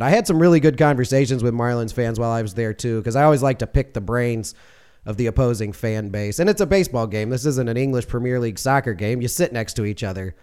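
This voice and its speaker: A man hosting a podcast, talking in a rambling, casual way, his voice a little hoarse from losing it. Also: mid-range voice, a little mellow. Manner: rambling, conversational, with fillers and self-corrections. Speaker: podcast host. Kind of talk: casual monologue.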